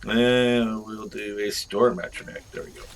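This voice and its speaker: A man's voice: fairly low pitch, steady tone, a little slow. A man giving casual, jokey, rambling video game review commentary.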